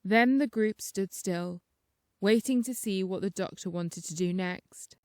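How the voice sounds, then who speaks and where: Woman, audiobook narrator, scripted first-person storytelling, medium pitch, dry studio-like sound. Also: unhurried, no room sound.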